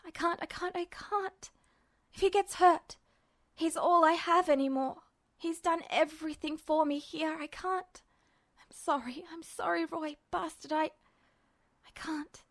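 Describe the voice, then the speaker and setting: A woman's voice: very high voice, slow, fairly bright tone, exaggerated voice. A woman voicing a terrified, hot-tempered boy in a story reading, pleading and stammering as he repeats himself. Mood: anguished pleading. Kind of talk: dramatized fan fiction reading.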